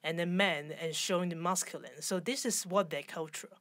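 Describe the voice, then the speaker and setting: Low-pitched Hong Kong woman in interview. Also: a bit bright, no room sound. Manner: halting non-native English phrasing. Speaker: interview guest, fashion designer. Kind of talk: conversational interview.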